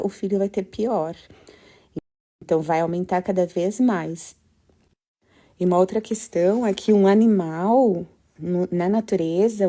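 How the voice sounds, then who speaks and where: A woman's voice: fairly low pitch, warm tone. A woman with a Brazilian Portuguese accent, a health professional giving advice to parents and colleagues in an emphatic, urgent way. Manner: emphatic, urgent, addresses listeners directly. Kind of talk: passionate talk.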